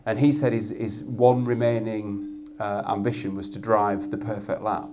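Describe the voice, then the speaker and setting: A man with a Yorkshire accent and a loud voice, speaking as a guest on a live industry panel and often restarting his sentences as he thinks. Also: fairly low pitch, level tone, moderate pace, over music. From Yorkshire, England. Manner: thoughtful, with frequent restarts and repeated words. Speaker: head of media effectiveness, guest. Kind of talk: panel discussion at an industry event.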